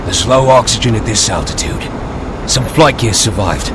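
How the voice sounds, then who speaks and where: A man playing a gruff, menacing military figure in an animated action game. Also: normal speed, close to an everyday voice. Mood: grim. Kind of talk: animated game cutscene acting. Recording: loud noise and rumble over voice.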